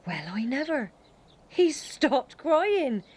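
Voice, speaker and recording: Homely wartime housewife, highish voice. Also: female voice, big pitch swings, slow, a little mellow, exaggerated voice. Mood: warming, practical. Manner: homely, matter-of-fact. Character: brisk, practical wartime housewife taking in an evacuee. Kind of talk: radio play acting.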